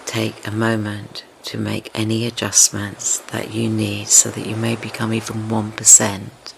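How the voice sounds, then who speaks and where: Very slow, low, gravelly man guiding meditation. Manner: gentle, soothing, tender, unhurried with long pauses. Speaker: guided meditation teacher. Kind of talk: slow guided meditation, yoga nidra. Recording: steady ocean wave noise behind voice. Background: ocean wave sounds.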